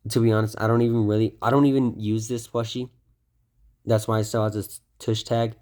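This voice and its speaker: A man's voice: lowish voice, flat delivery, a little fast, a little mellow. A young man casually showing off his toy collection, rambling with lots of ums and self-corrections, his voice a bit stuffy from a cold. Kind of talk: casual show-and-tell of a collection.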